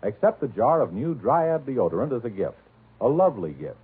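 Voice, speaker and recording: A man's voice: medium pitch, very animated, brisk, breathy edge. An American man as a 1940s radio announcer, reading scripted sponsor copy with a polished salesmanlike delivery. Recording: muffled, band-limited 1940s radio broadcast.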